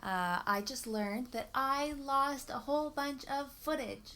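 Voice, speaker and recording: A woman's voice: high-pitched, unhurried, sharp tone. A young woman vlogger chatting casually and enthusiastically, often repeating words for emphasis. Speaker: book vlogger. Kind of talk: casual chat to camera.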